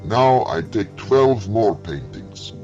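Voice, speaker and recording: A man's voice: lowish voice, wildly swooping pitch, slow pace, vocal fry, warm tone, heavily acted. Alien exterminator, male, eager and insistent, broken English, raised and drawn out, read by a man; storybook. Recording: dull lo-fi with faint music.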